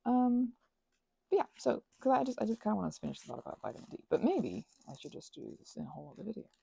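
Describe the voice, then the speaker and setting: Mellow, husky woman rambling casually. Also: medium pitch, sing-song, quick pace, no echo. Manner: meandering, trails off into asides. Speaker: ASMR and audio channel creator. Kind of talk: rambling casual chat to listeners.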